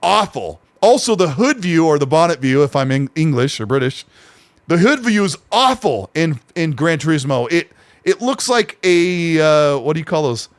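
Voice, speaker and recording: A man's voice: fairly high pitch, big pitch swings, normal speed, no room sound. A man co-hosting a casual gaming podcast, rambling with fillers and restarts. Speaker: podcast co-host.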